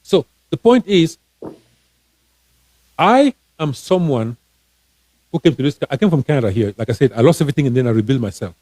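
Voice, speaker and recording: A man's voice: mid-range voice, animated, brisk, pauses often, dark tone, no echo. A man from Cameroon, a financial coach and author, giving casual advice on a live stream, earnest and encouraging, often restarting his words.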